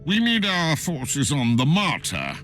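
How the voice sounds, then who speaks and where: An actor playing a stern, haughty and zealous inquisitor in a video game, speaking with pompous force. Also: male voice, fairly high pitch, wildly swooping pitch, a little slow, a bit bright, faint music behind, exaggerated voice. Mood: grudging. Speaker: game character. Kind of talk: dramatic video game cutscene acting.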